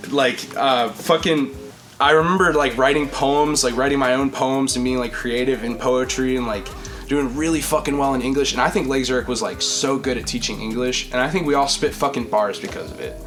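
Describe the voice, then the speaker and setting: A young man with a deep, projecting voice, chatting casually as a podcast host, rambling and swearing freely. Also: steady tone, a little fast, music in the background. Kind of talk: casual chat.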